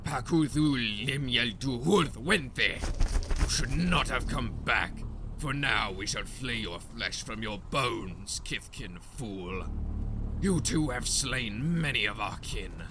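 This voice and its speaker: A man playing a cold, vengeful dark elf commander in a fantasy audio story, making slow and deliberate threats. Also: mid-range voice, lively intonation, background noise. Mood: cold menace.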